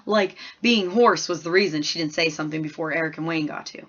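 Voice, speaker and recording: A woman's voice: low-pitched, a little fast, a bit bright, dull audio. A woman voicing a chatty, frank ten-year-old girl who narrates a storybook in folksy, colloquial, rambling style. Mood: chatty, earnest. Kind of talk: first-person storybook read-aloud in character.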